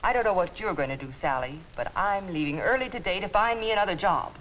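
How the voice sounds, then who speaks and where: Actress as indignant American clerk, quick to take offense, swooping delivery, dated hissy instructional-film sound. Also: female voice, medium pitch, expressive, fairly bright tone, lightly acted, low-quality recording. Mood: indignant, resentful. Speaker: actor in workplace instructional film. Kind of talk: 1950s instructional film acting.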